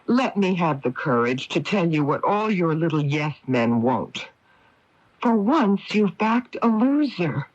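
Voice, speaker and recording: An actress playing an archaeologist's candid, skeptical wife in a radio drama, pleading and direct. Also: female voice, fairly low pitch, very animated, a little slow, a little mellow. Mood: pleading, blunt. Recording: muffled old AM radio broadcast.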